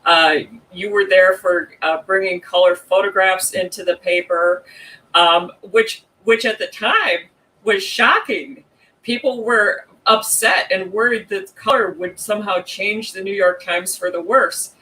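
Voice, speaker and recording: A man hosting an online interview over a video call, asking long questions in a measured way with uh fillers. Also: sits high, sharp tone, rough recording. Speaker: host.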